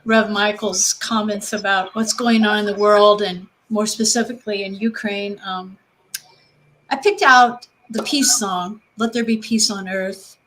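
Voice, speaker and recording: A woman's voice: mid-range voice, fairly even intonation, a little slow, a bit bright, rough recording. A woman guest musician at a spiritual service, talking casually and informally as she introduces music. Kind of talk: casual talk introducing a song, and singing.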